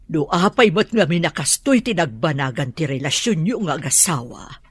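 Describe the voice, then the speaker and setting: A Filipino woman acting a gentle, pleading older mother who coaxes her grown daughter in a radio drama. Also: low voice, lively intonation, moderate pace, slightly rough, no room sound.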